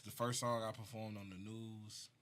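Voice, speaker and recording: A man's voice: fairly low pitch, level tone, normal speed, fairly bright tone, a little hiss or hum. A man from Mississippi with a Southern US accent, a rapper telling stories casually as a guest on a talk show. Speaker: rapper being interviewed.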